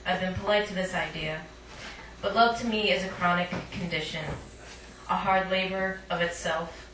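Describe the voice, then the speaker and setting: A woman reads poetry aloud at a live event, informal and a little self-conscious. Her voice sits on the low side, caught at a distance in an echoey room with clear background noise. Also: fairly even intonation, normal speed, fairly bright tone. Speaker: poet, featured reader. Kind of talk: live poetry reading with casual asides. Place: live poetry night at a cafe.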